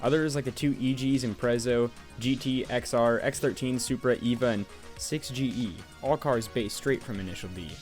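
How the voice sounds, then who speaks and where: A man's voice: medium pitch, normal speed, a little mellow. Sarcastic young guy doing voice-over. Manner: sarcastic, profane and joking. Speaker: youtuber reviewing a game. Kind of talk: casual voice-over game review. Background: faint music.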